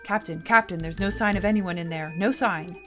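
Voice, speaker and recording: A woman's voice: fairly low pitch, fairly quick, over music, natural voice. A woman voicing a puzzled goblin guard who reports deferentially to a captain in a visual novel.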